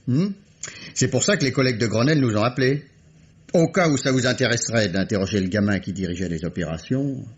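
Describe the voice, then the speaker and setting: A man's voice: mid-range voice, animated, fast talker, slight background noise, natural voice. An actor playing an eager, deferential police officer reporting to his superior in a French radio mystery. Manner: apologetic, eager. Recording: muffled vintage radio recording.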